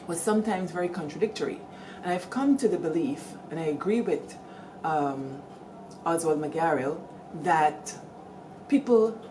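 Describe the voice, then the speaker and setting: A middle-aged Guyanese woman hosting, telling village stories in a lively, animated way and calling out to her listeners. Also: lowish voice, background noise. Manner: lively, animated storytelling with call-outs to listeners. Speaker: storyteller host. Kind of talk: storytelling talk.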